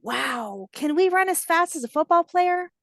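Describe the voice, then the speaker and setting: A woman voicing a curious, excitable little alligator hatchling in a storybook, asking things in an eager, wide-eyed way. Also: extremely high pitch, moderate pace, no echo, clean studio sound, voice pushed up, heavily acted. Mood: amazed.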